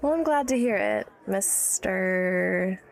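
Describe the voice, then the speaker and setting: A woman's voice: medium pitch, expressive, slow. An actress playing an educated, tipsy, wry woman of early-1900s New York in a period audio drama, teasing and guarded. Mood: apologetic, reluctant. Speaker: storyteller recalling her childhood.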